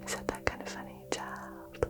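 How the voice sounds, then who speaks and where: Southern-raised woman, gentle ASMR whisper, flat low delivery, faint music underneath. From American South. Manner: soft, slow, soothing whisper. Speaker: ASMR creator doing a crystal healing.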